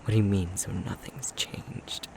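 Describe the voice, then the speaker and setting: A man playing an affectionate, self-deprecating young fox-shifter boyfriend in an intimate ASMR roleplay, speaking softly and hesitantly, often half-whispered. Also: sits low, level tone, measured pace, vocal fry, background noise. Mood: bashful, reminiscing.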